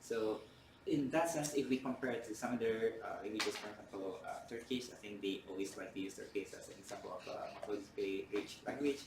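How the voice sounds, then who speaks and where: A man whose first language is Indonesian gives a university lecture in a lowish voice, stopping often on fillers and restarting his sentences. He sounds far from the mic in a roomy hall, with faint room hum behind him. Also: a little fast, vocal fry. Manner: hesitant, many fillers and restarts.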